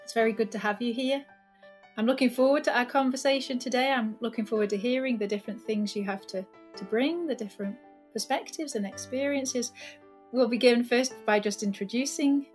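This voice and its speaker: Higher-pitched woman hosting a podcast. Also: a little mellow, faint music behind. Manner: measured, welcoming, introduces guests at length. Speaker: podcast host, senior lecturer.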